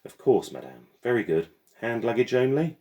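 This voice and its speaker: A man's voice: medium pitch, very animated, moderate pace, darkish tone, voice pushed up. A man voicing a stocky, cheerful mechanical porter in a storybook, formal, proud and eager to please. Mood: politely eager. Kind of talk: storybook character voice.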